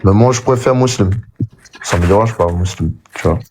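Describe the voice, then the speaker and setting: A male caller with a low voice argues back hesitantly and defensively. He sounds a little removed from the mic. Faint noise sits under his voice. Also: brisk. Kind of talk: live debate with host.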